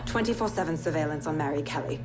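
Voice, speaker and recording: Actress as a low-voiced, determined woman police inspector. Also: fast talker, close to an everyday voice. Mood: grim, determined. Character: determined female police inspector recording a secret log. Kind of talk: video game cutscene monologue. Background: music.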